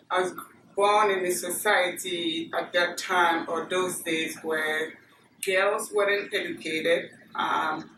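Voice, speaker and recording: A middle-aged woman from Ghana with a Ghanaian accent, recalling her childhood in a measured, plain way with frequent fillers. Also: lowish voice. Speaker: interviewee. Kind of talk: oral history interview. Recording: echoey room, distant mic, noisy.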